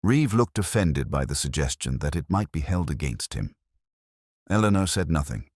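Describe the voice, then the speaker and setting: Very deep, brooding detective played by a man. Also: sing-song, darkish tone, no echo, clean studio sound, full character voice. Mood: brooding, solemn. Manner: solemn, literary, deliberate phrasing. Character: brooding, measured male detective inspector narrating his case. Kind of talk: first-person scripted mystery narration, audiobook-like.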